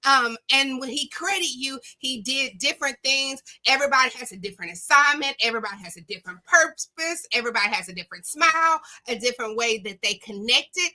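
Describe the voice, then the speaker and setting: Very bright, high, preacherly woman. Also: expressive, a little fast, hardly any pauses. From southern United States. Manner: preacherly, emphatic, folksy. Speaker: guest motivational speaker. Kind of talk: casual faith-based motivational talk. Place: radio studio.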